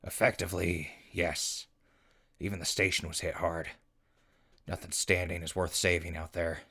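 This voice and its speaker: Man playing gruff male crew leader, wry, deep, gravelly, post-apocalyptic audio drama. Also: little pitch movement, normal speed, bright, forceful tone, full character voice. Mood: reassuring.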